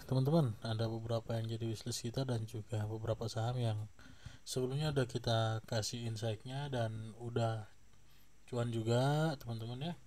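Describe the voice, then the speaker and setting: Guy w/ a bit of a cough going over stock charts, laid back, lots of fillers, warm voice. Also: medium pitch, a little hiss or hum. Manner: relaxed, many fillers and tag questions. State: slight cough. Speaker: stock market channel host. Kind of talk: casual stock chart review.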